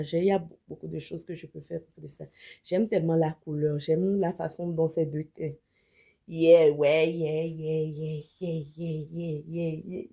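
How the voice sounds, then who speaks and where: Woman, beauty vlogger, slight head cold, chatty, mixes in English words, warm lower voice. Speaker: beauty vlogger and image consultant. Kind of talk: casual wig unboxing demo.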